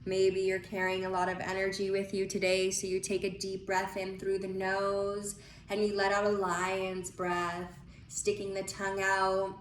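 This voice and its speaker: A woman leading a guided breathing visualization in a soothing, unhurried manner with pauses between phrases. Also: mid-range voice, completely flat delivery. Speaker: guided meditation leader. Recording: echoey room with faint noise.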